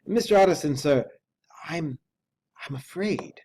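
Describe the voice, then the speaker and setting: A man voicing a frightened, dogged butler who speaks haltingly and deferentially in a hoarse, strained voice, as in an audiobook. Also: on the high side, expressive, a little mellow, voice pushed up. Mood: anxious, halting. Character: frightened, dogged, servile old-fashioned butler.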